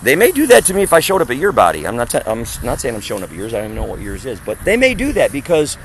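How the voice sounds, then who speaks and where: A man's voice: medium pitch, wildly swooping pitch, rapid-fire, a little hiss or hum. A man preaching outdoors to a small group, talking emphatically, posing rhetorical questions and acting out other people's voices. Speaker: street preacher. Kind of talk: conversational street preaching. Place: outdoors in a parking area.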